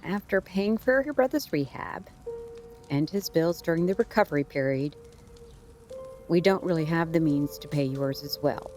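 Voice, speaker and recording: A woman's voice: low-pitched, moderate pace, darkish tone, soft background music, lightly acted. An actress playing a firm, coaxing, practical middle-aged mother of grown sons in an audio drama. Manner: firm, coaxing, matter-of-fact.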